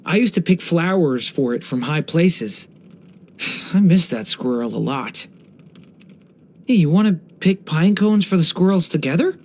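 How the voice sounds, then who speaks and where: A young boy who was once a small dragon, a gentle and earnest video game character. The voice sits in a middle register and moves with very lively, varied intonation. Each line is told carefully, with innocent wonder and a soft heart. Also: medium pitch, unhurried. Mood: wistful, fond. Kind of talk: scripted game character voice lines.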